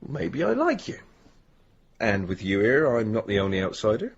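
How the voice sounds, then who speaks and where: An actor playing an easygoing, gently teasing village policeman in a radio drama, dry and reassuring, with a husky voice. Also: lowish voice, huge pitch swings, moderate pace, lo-fi sound, exaggerated voice. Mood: teasing, wry.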